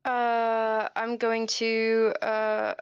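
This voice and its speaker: A woman's voice: fairly high pitch, completely flat delivery, very slow, clean tone, no room sound, highs cut off. A woman playing a tabletop game, chatting casually and asking tentative questions like a newcomer. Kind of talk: casual chat.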